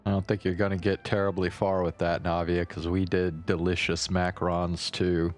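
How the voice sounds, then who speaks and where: Man, very low voice, unhurried, casual wry game streamer commentary, muttered asides, faint game music behind. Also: level tone. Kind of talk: casual game commentary, reading dialogue aloud.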